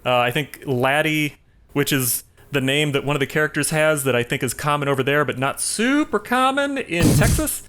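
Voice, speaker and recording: A man's voice: on the high side, lively intonation, moderate pace, a bit bright, a little hiss or hum. A man co-hosting a casual film discussion, explaining details and correcting himself as he goes. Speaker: podcast co-host.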